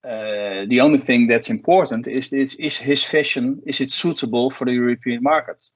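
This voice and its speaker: A man's voice: medium pitch, normal speed, dry recording. A man, an export coach giving a plain-spoken online workshop talk with many restarts and fillers. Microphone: video call. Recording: dull lo-fi video call audio.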